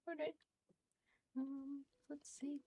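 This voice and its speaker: A woman's voice: sits high, flat delivery, extremely slow, dark tone, dry recording. A woman giving casual livestream commentary as she plays a game, often muttering hesitantly to herself. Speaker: game streamer.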